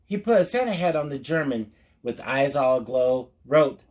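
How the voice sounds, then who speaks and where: A man hosting storytime, reading a picture book aloud with mock-earnest asides in a measured storyteller's manner. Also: medium pitch, animated, darkish tone.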